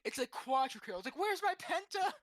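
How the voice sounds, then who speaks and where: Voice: high-pitched, sing-song, fairly quick, fairly bright tone, no echo, clean studio sound. A player in a tabletop game, chatting casually with the group. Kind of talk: casual gaming chat.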